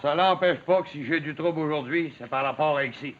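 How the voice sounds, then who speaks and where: Male actor doing big boastful quebec woodsman, creaky higher-pitched voice, rustic, dull 50s tv audio. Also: fairly quick, a little hiss or hum, lightly acted. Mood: boastful, vengeful. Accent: Quebec French. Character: big, proud, boastful log driver nursing a grudge. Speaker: actor playing a log driver. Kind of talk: 1950s television drama acting. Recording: dull 1950s television sound.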